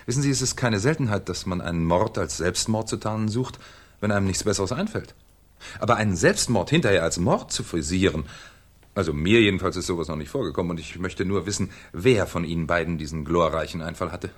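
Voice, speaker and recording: Sardonic police inspector in old radio play, low voice, very animated and needling. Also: male voice, a little fast. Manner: ironic, needling, theatrical. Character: sardonic, shrewd male French police commissioner. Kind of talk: 1970s German radio crime drama acting.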